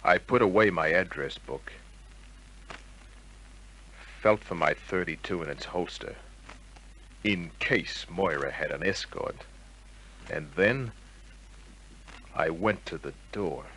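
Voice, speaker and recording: Sardonic hardboiled private eye, old radio. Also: male voice, low voice, measured pace, long pauses, bright, forceful tone, a little hiss or hum, full character voice, rough recording. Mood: dry narration. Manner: sardonic, clipped, tough-talking. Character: wisecracking, suspicious, flirtatious hardboiled male private detective. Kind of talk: 1950s radio crime drama acting and first-person narration. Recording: old radio drama recording with music.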